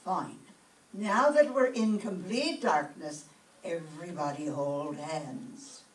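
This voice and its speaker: A woman playing an excitable, sentimental older Irish widowed landlady in an old-time radio comedy, with an Irish accent. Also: on the low side, takes it slowly, crowd noise. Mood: earnest. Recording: echoey room, distant mic, faint noise.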